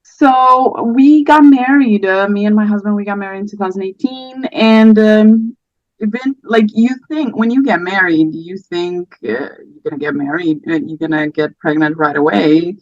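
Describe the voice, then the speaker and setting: Casting: Portuguese-accented woman, late thirties, halting and gentle, telling her story; dry, dull lo-fi sound. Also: mid-range voice, no room sound. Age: 30s. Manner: soft-spoken, hesitant, many fillers. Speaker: podcast guest. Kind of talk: personal story in conversation.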